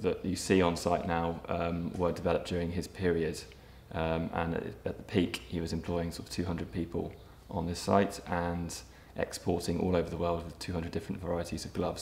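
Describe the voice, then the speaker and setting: Low, flat-voiced man in an echoey room. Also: creaky. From England. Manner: measured, hesitant with frequent ums and restarts. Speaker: property developer. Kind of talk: documentary interview. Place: empty brick factory room, indoors, large. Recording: echoey empty room, faint ambience.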